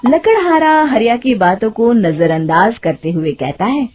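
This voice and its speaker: A woman's voice: fairly high pitch, expressive, a little slow, noisy background. A woman narrating a scripted moral tale in a dramatic storytelling style.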